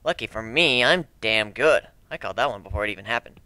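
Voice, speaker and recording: A man's voice: fairly low pitch, expressive, quick pace, pushed and bright, no room sound. A man narrating a first-person story as a dramatic reading, with a wry, sardonic manner.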